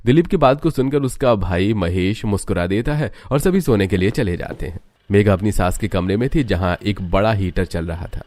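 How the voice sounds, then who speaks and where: A man's voice: lowish voice, moderate pace, darkish tone, no room sound. A man reading scripted narration for a cartoon family story.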